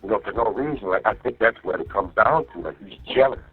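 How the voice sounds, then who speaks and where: A man's voice: on the low side, fast talker, slightly rough. A man talking casually and profanely on a phone call, rambling and often repeating himself to check he is understood. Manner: rambling, repeats himself with "you know what I mean". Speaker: jail inmate on a phone call. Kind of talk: casual, profane venting to a partner. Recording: muffled jail phone line, faint music.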